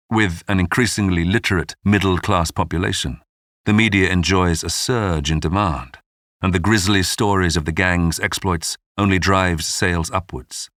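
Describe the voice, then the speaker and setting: A man's voice: low-pitched, fairly bright tone, no echo, clean studio sound. A man reading a scripted, dramatic true-crime documentary narration. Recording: music bed under the voice. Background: background music.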